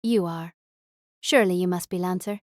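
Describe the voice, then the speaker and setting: A woman's voice: on the low side, normal speed, darkish tone, dry recording, close to an everyday voice. Woman voicing a stern knight, dry sound. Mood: proud, guarded. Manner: formal, firm. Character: stern, noble female knight spirit. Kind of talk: audio drama acting.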